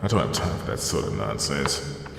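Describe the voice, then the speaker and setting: A man plays a stern lead detective with a low, gravelly voice. He is terse and dry but moves widely in pitch, in a brooding audio drama with long reverb. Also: very animated, moderate pace, background noise, full character voice. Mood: stern, impatient. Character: stern, no-nonsense male lead police detective. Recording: reverberant hall, music underneath.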